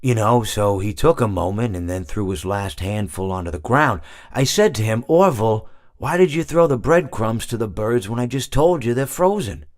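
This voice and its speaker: An actor playing a polite, gloomy animatronic bear in a horror game, telling stories in a halting, meandering, deadpan way. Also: male voice, on the low side, dry recording, clean studio sound, natural voice. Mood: earnest, exasperated. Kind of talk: character monologue from a horror game.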